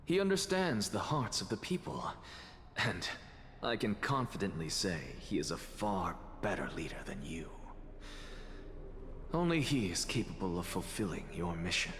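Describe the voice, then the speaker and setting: A man voicing a sardonic, weary, dying scholar in a video game, speaking in a deliberate, haughty and theatrical way. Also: low voice, very animated, slight background noise. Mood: wry, candid. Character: sardonic, dying one-eyed male scholar, weary and candid. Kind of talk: scripted game voice acting. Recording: long hall reverb, loud music.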